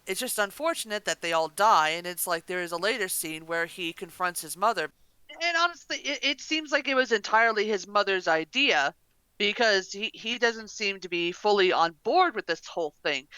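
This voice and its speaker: A woman with a bright, forceful, very clear voice of medium pitch, recorded dry. She gives her opinions casually as a podcast reviewer. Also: lively intonation, fairly quick, no echo, poor audio.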